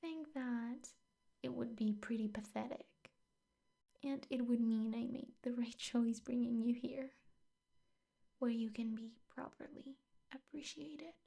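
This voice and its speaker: A young woman playing a possessive, sweetly menacing teacher girlfriend in an ASMR roleplay, speaking softly, intimately and often in whispers. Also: a little high. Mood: jealous, scornful. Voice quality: soft, breathy, often whispered. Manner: slow, intimate, coaxing with veiled threats.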